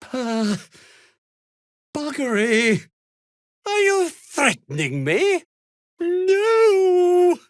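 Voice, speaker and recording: An actor playing a pompous, jittery, self-serving merchant in a video game, speaking with a British accent in a haughty, indignant manner that falters nervously. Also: male voice, very high voice, a bit bright, dry recording, studio quality, full character voice. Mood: panicked, pleading. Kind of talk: video game dramatic acting.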